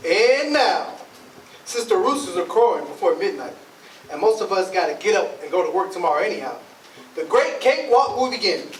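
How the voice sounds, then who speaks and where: A man's voice: high-pitched, sing-song, moderate pace. A man playing a folksy, showy announcer in a staged play, declaiming in Southern folk dialect. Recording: roomy, distant mic, faint noise.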